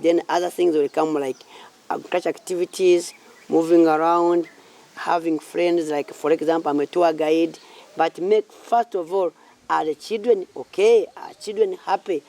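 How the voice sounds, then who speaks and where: The speaker is a man in his late thirties with a Ugandan accent. His voice is mellow, with plenty of creak in it. He answers interview questions informally, phrasing things haltingly and often repeating himself. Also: a little high. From southwestern Uganda. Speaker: community project founder and church minister. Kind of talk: informal interview answers about own life.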